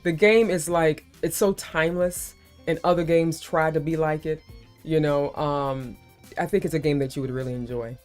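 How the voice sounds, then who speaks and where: A woman's voice: low voice, fairly even intonation, normal speed, darkish tone, faint music behind. A woman hosting a livestream, chatting casually and playfully and calling the other person baby. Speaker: livestream host.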